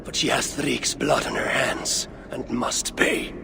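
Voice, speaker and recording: An actor playing a commanding one-eyed god-warrior in a video game, curt, imperious and dryly mocking. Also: male voice, medium pitch, slow pace, creaky, background noise. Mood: grim, commanding. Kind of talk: video game cutscene acting.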